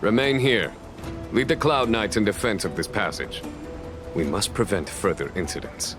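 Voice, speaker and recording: A man playing a composed veteran general in a game cutscene, speaking in a measured, formal and authoritative way. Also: lowish voice, big pitch swings, music in the background. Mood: solemn, composed. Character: composed veteran male general commanding knights. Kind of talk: video game cutscene acting.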